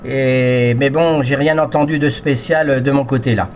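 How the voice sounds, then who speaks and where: A man's voice: mid-range voice, steady tone, normal speed. Guy chatting on ham radio, kinda hesitant and repeats himself, polite, dull audio w a bit of noise. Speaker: amateur CB radio operator. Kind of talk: casual radio contact chat.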